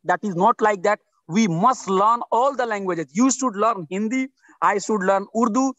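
Earnest high-voiced Indian man. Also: moderate pace, fairly bright tone, no echo. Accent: Indian English. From India (Bihar). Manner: earnest, repetitive, emphatic with restarts. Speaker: interview guest, writer. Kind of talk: video-call interview. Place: outdoors under trees. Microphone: video call.

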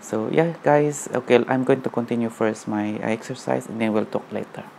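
A man in his thirties talking casually to a handheld phone in a large indoor gym, halting with many fillers, a little out of breath after jogging. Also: mid-range voice, creaky, background noise. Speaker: vlogger. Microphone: phone at arm's length.

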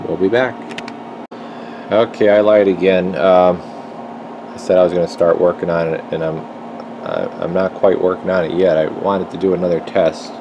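A man's voice: low-pitched, normal speed, dull audio. A man giving casual hands-on repair commentary as a technician and video host, thinking aloud while he works. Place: indoor electronics workshop bench. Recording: noisy workshop with steady background hum.

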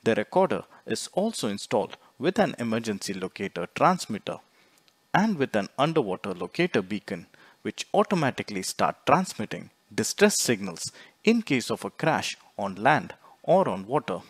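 A man reading scripted instructional narration in a measured, explanatory way, phrase by phrase. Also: fairly low pitch, very animated, husky, no echo. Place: close, dry voice-over recording.

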